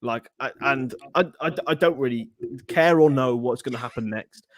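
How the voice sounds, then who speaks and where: A man's voice: medium pitch, normal speed, no echo. A young Englishman co-hosting a casual podcast, rambling with many restarts. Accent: non-Scouse English. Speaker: podcast co-host.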